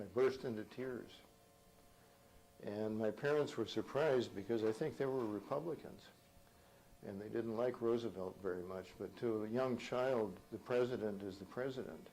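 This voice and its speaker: A man in his seventies from Minnesota, recalling his life in an unhurried, reflective way for an oral history. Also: fairly low pitch, darkish tone, slight background noise, rough recording. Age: 70s. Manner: unhurried, reflective storytelling with small restarts.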